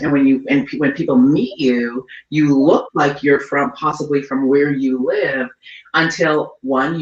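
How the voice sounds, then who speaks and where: A woman from Trinidad hosting a panel discussion, addressing her guests with affectionate names. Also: very deep voice. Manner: warm-hearted and affectionate with guests. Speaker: panel host. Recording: echoey, distant, dull audio.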